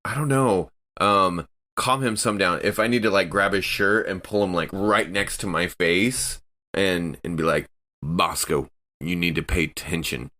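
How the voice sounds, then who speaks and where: A man playing a tabletop game, chatting casually and haltingly as he searches for words. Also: sits low, bright, forceful tone.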